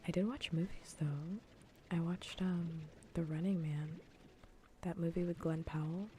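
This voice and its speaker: Soft, whispery low-voiced woman streamer. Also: steady tone, measured pace, a little hiss or hum. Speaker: livestream host. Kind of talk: casual ASMR stream chat.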